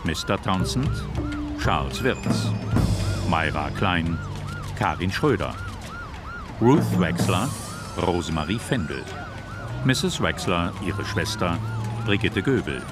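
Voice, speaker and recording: A man's voice: low voice, animated. A man formally announcing the credits of a radio drama as a scripted reading. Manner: formal, deliberate listing. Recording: loud music under the voice.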